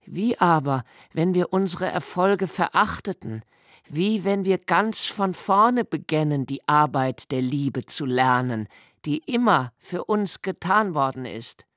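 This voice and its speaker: An actor playing a young nobleman who narrates a literary radio play in a slow, hushed, reflective way. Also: fairly high pitch, expressive, no room sound, studio quality. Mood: musing. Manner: slow, hushed and reflective, dropping to near whispers. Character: young nobleman Malte, brooding poetic narrator.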